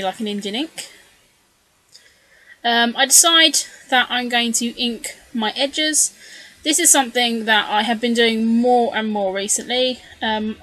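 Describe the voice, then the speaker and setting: A woman talks through her scrapbooking project in a loose, chatty voice-over, stopping often for ums and side comments. Her voice is bright and a touch high, and a little worn, as if she is losing it. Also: no room sound, poor audio. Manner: chatty, rambling, many ums and self-corrections. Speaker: scrapbooking video creator. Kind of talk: casual voice-over narration of a craft process.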